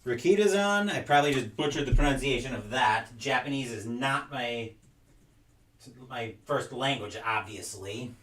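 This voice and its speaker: Man, young adult, casual unboxing chat, rambling, middle pitch, off-mic, echoey room. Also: lively intonation, normal speed, fairly bright tone, far from the mic. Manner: rambling, reads names aloud while sorting. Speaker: collector unboxing wrestling game cards. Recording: roomy, off-mic sound.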